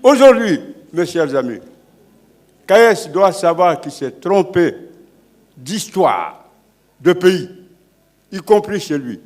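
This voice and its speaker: An older man from Guinea speaking as an elder guest on a public panel, oratorical and building to forceful declarations. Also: sits high, sing-song, slow pace, pauses often. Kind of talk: impassioned speech at a public panel.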